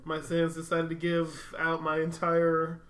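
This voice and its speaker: A man's voice: on the high side, monotone, a bit bright. A man on a casual podcast, protesting and laughing at his friends' teasing. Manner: exasperated, quick protests. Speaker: podcast guest co-host.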